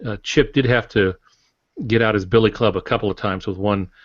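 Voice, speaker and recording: Low-voiced man in a roomy, dull recording. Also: fairly even intonation, a little fast. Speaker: author, podcast guest or panelist. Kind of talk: casual explanatory podcast talk. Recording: dull, roomy podcast audio.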